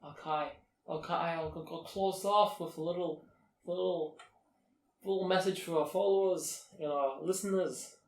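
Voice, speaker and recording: A man's voice: fairly high pitch, fairly even intonation, measured pace, recorded from a distance. Young man chatting in an echoey room. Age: young adult. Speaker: podcast co-host. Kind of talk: casual rambling podcast chat.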